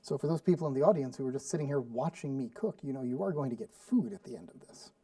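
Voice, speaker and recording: Man, cooking show host, rambly and casual, mellow tone, dry distant mic. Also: medium pitch, fast talker, no room sound. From Sweden. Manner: chatty, rambling with many fillers and restarts. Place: studio kitchen.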